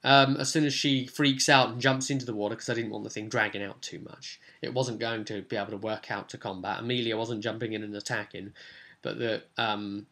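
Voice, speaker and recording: A man's voice: lowish voice, flat delivery, brisk, pushed and bright. A man speaking as a game master, casually recounting a tabletop session with conversational, explanatory asides. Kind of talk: casual rambling session recap.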